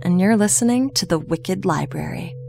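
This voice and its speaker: A woman reading a horror story aloud in a slow, hushed, even way. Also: lowish voice, creaky, music in the background. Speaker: narrator. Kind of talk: scripted horror narration.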